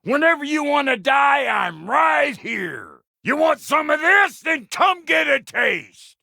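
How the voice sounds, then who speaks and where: Gruff American dwarf blacksmith for a game: high-set, sharp, rough voice, foul-mouthed and cocky, folksy drawn-out delivery. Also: male voice, very animated, no room sound, studio quality, exaggerated voice. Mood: belligerent taunting. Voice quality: gravelly, rough. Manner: brash, folksy, profane. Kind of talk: video game character voice lines.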